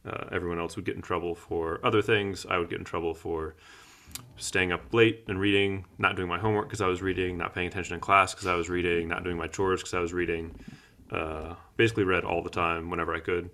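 A man's voice: low-pitched, little pitch movement, quick pace, a bit bright. A man of thirty chatting casually as the co-host of a podcast.

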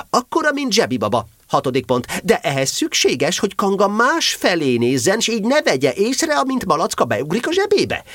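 A man's voice: high-pitched, a bit bright, no room sound, studio quality, exaggerated voice. A man voicing a pompous, bossy, self-important rabbit in a children's audio play, speaking in an officious way. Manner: pompous, officious, throat-clearing.

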